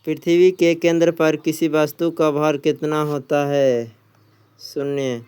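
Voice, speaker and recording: Male exam coach, even intonation, very clean smooth tone, reads quiz items aloud and repeats them instructively. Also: fairly high pitch, slow. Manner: instructional, often repeats questions and answers. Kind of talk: reading quiz questions and answers aloud.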